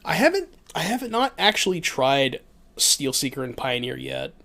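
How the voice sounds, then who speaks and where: Stuffy-nosed man, gravelly voice. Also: medium pitch, sing-song, moderate pace, bright, forceful tone. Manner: chatty, thinks aloud, reads chat, many restarts. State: stuffed up, voice a little shot. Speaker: streamer playing a card game. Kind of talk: casual gameplay commentary.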